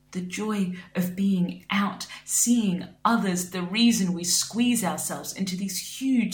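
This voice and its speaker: Woman poet, reflective spoken-word delivery, roomy echo. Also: medium pitch. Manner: measured, reflective recitation.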